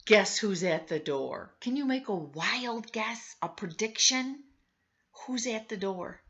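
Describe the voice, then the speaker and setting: A woman's voice: mid-range voice, animated, takes it slowly, a bit bright, lo-fi sound. A woman reading a storybook aloud to children, coaxing them with questions and exclaiming in conversational asides. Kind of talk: read-aloud storytelling with questions to young listeners.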